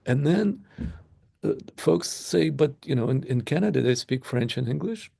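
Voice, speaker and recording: A man's voice: a little high, animated, normal speed, gravelly, dark tone. A man from Ukraine, a native Russian speaker, speaking as a guest in an interview and arguing passionately with rhetorical questions. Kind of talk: conversational interview.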